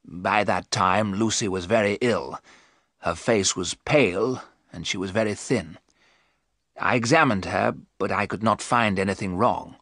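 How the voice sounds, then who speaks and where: A man voicing a grieving widowed doctor in a gothic story, sombre, subdued and measured. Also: lowish voice, a bit bright, no echo, studio quality.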